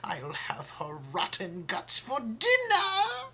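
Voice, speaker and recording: A man voicing a vain, furious wicked queen in a shrill screech. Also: huge pitch swings, takes it slowly, bright, forceful tone, breathy edge, full character voice.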